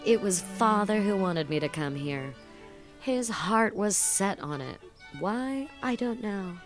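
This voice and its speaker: A woman's voice: mid-range voice, expressive, slow, music in the background. A woman voicing a chattering, fun-loving girl of eighteen in a dramatized audiobook, gushing and playfully dramatic. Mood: chatty. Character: giddy, flighty 18-year-old girl, fond of fun.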